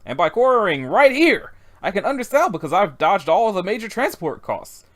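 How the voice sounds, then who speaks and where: Amateur performer as long-winded businessman, eager salesman patter, lively raised pitch. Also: brisk. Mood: enthusiastic. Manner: enthusiastic, wordy, salesmanlike.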